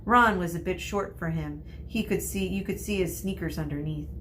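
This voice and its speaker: A woman teacher reading a children's novel aloud in a deliberate, explanatory way. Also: on the low side, slight background noise, rough recording. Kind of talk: storybook read-aloud.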